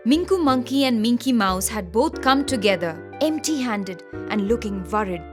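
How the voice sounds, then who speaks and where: A woman's voice: fairly high pitch, expressive, moderate pace, music in the background. A woman reading a scripted children's story as its storyteller.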